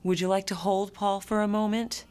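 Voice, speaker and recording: An actress playing a calm, controlled hospital nurse in charge in a radio drama, speaking in a measured, formal, clinical way. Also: female voice, medium pitch, clean tone, close to an everyday voice. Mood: firm, soothing.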